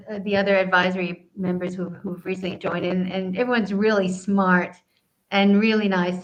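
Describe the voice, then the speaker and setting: A woman's voice: medium pitch, muffled recording. A woman from Southeast Asia, a guest answering interview questions in an earnest way, explaining at length with frequent ums.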